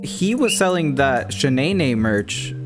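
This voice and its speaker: A man's voice: on the high side, very animated, slow pace, over music. A man hosting a casual conversation, speaking hesitantly with repeated words and many "like" and "uh" fillers. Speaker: YouTuber host. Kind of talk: casual video-call conversation.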